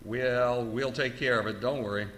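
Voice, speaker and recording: An actor playing a skeptical, unhurried police desk sergeant in a radio thriller, placating and a little patronizing. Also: male voice, medium pitch, steady tone, fairly bright tone, close to an everyday voice. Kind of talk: old-time radio thriller acting. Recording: audible background noise.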